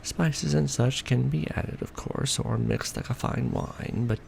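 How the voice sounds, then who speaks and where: A man playing a centuries-old vampire lord in a romantic ASMR roleplay, proud and doting, speaking formally with theatrical, measured phrasing. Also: mid-range voice, vocal fry, darkish tone, a little hiss or hum. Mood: musing, refined.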